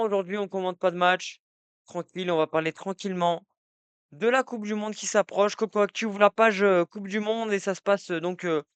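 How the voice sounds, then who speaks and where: Young male streamer, casual and rambling, with a smooth, slightly high voice and a dry close sound. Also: no room sound. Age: young adult. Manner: rambling, many fillers and restarts, talks to chat. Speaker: live streamer. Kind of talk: casual live-stream football talk. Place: indoor room at night.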